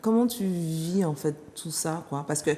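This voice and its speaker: A woman hosting a TV talk show in relaxed interview chat, laughing often and encouraging her guest. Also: low voice, moderate pace, slight background noise.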